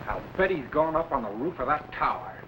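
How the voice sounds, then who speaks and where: An actor playing a commanding time-travelling captain with authoritative, dramatic delivery in an old children's sci-fi serial. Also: male voice, a little high, animated, fairly quick, background noise, close to an everyday voice. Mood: urgent, commanding.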